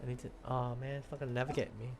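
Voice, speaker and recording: Casting: man as a laid-back game streamer, average pitch, casual commentary and brief reactions, faint music. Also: little pitch movement, rapid-fire. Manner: thinks aloud, brief reactions.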